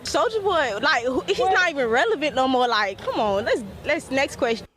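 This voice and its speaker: A woman's voice: sits high, animated, normal speed, fairly bright tone. A woman answering in a played interview clip, blunt and dismissive with laughter.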